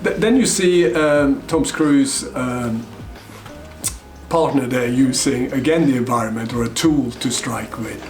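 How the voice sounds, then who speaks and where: A middle-aged man from Norway, a Krav Maga instructor, commenting on fight scenes in an articulate, measured way with fillers. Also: mid-range voice, soft background music. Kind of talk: reacting to film fight scenes.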